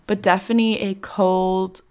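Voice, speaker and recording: A woman's voice: medium pitch, level tone, moderate pace, dark tone, smooth. Congested young woman chatting casually. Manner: chatty, rambling with many fillers. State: congested, a bit sick. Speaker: podcast host. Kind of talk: casual solo podcast chat.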